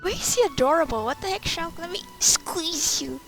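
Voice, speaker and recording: A woman's voice: very high voice, lively intonation, moderate pace, fairly bright tone. A woman giving casual, informal and reactive commentary while playing a video game. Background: game music.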